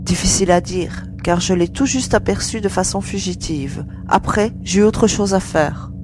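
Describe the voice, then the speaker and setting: A woman's voice: medium pitch, moderate pace, music in the background, natural voice. A woman playing an earnest young female adventurer who cares about her comrades, in an audio serial. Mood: relieved, thoughtful. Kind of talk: acted dialogue in an amateur audio serial. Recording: clear hum and background noise.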